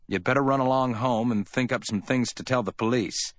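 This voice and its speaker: A man's voice: medium pitch, a little fast, no echo, voice pushed up, lightly acted. A man reads as a cool hardboiled man in an audiobook story. He is wry and offhand, clipped yet lightly amiable, brushing things aside. The recording is close and dry. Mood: cool, advising. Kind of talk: dramatic audiobook character reading.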